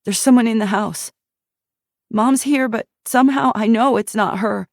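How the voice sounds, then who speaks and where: A frightened young woman's voice, pitched high like the small girl she once was, as a woman narrator puts her on. She recalls in breathless, trembling phrases, with a gravelly edge and a dry, close sound. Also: moderate pace, no room sound. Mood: terrified.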